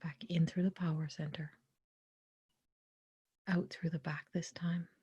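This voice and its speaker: A woman leading a guided meditation in a soft, breathy, often near-whispered voice, gently and without hurry, pausing between short phrases. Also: sits low, little pitch movement, dry recording, lo-fi sound. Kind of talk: guided meditation, soothing spoken instruction.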